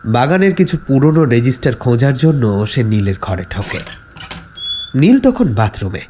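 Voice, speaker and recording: A man's voice: medium pitch, normal speed, slight background noise. A man narrating a scripted horror story in a dramatic, suspenseful way. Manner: suspenseful, expressive.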